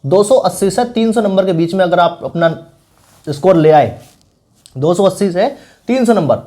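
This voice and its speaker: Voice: mid-range voice, lively intonation, moderate pace, mellow. A speaker giving informal exam coaching advice as an online teacher, in an instructive, repetitive way with frequent check-in tags.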